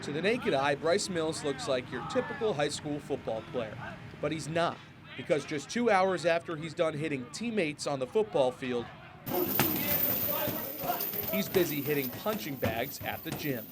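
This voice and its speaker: A man's voice: on the high side, expressive, moderate pace, background noise. A young man working as a sports reporter, speaking in a polished broadcast delivery for interviews and narrated features. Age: young adult.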